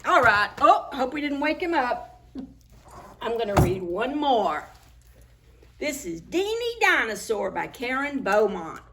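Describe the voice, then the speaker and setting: A woman hosting a preschool story time, reading picture books aloud in an expressive, sing-song way and speaking directly to children. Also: a little high, measured pace, a little hiss or hum.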